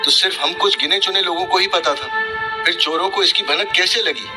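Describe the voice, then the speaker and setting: A man's voice: sits high, moderate pace, close to an everyday voice. A man playing a deferential, anxious royal treasurer in a historical drama, speaking formal Hindi with a humble, hesitant manner. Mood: puzzled, uneasy. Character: deferential, anxious, rule-abiding royal treasurer of ancient Magadha. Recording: loud dramatic music behind.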